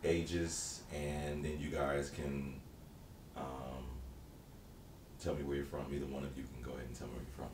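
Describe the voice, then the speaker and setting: A man's voice: extremely low pitch, flat delivery, normal speed. A man interviewing, upbeat and laughing, with lots of hesitant fillers. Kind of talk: casual interview. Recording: distant, echoey, noisy room.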